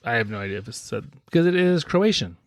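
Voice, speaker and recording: A man co-hosting a podcast in an easy, joking way. His voice sits at an ordinary middle pitch, and he slows down to build suspense as he tells the story. It is recorded close and dry, with no room sound. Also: sing-song, dry recording. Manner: wry, teasing, builds up the story dramatically. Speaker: podcast co-host. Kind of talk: casual chat, storytelling.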